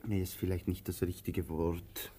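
A man acting an anxious, talkative tramp in an absurdist radio play, halting and insistent, repeating himself as he clings to his companion. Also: sits low, flat delivery, dark tone. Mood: halting wonder.

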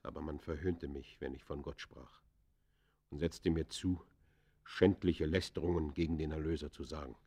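An actor playing an embittered ex-convict in a radio play, confessing in theatrical, literary that swings from hushed to fierce. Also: male voice, a bass voice, steady tone, moderate pace, husky, full character voice. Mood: sorrowful, pleading. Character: embittered male ex-convict confessing his descent into crime. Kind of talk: German radio play acting, literary monologue.

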